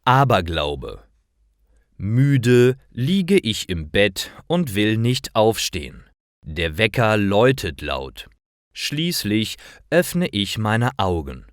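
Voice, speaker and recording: A man reading scripted stories for language learners with careful, expressive enunciation. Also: lowish voice, slow pace, no room sound, clean studio sound. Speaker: narrator of stories for German learners.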